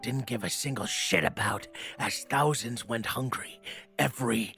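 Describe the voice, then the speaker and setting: An actor in an audio drama playing a blunt, hot-tempered henchman from the streets, talking in casual, rough slang. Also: mid-range voice, moderate pace, sharp tone, faint music behind. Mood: earnest, hardened.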